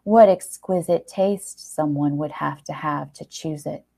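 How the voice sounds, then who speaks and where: A woman's voice: low-pitched, measured pace, vocal fry, mellow, exaggerated voice. A woman voicing a jilted boyfriend, sarcastic, accusing and indignant. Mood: sneering sarcasm. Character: Gary, bitter jilted boyfriend, sneering and indignant.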